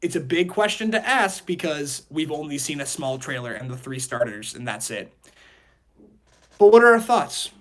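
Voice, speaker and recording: A man's voice: on the high side, very animated, moderate pace. A man hosting a casual podcast discussion, rambling and repeating phrases as he poses questions. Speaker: podcast host.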